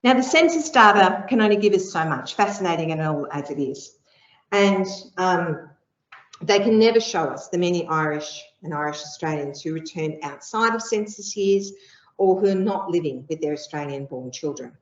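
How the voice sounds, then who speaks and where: A middle-aged woman giving an academic lecture with slides, measured and explanatory, pausing on ums. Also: lowish voice, lively intonation. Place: lecture room. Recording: echoey room, dull lo-fi sound.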